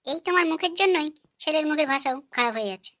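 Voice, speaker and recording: A woman voicing a sharp-tongued, nagging village wife and mother in a comic cartoon. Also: high voice, lively intonation, racing, no echo, clean studio sound. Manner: snappy, nagging.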